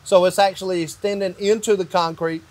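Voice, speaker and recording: High-pitched man explaining step by step. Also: fairly even intonation, a little fast. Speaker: company owner explaining construction. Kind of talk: hands-on explanatory demonstration. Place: indoors in a metal-walled barn or shop.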